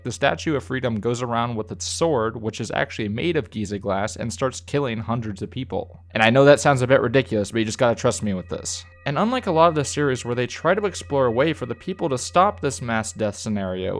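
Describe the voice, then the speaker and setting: A man narrating a scripted review as the host, conversational and opinionated, with casual, enthusiastic asides. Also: medium pitch. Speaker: host of a video review series. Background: background music.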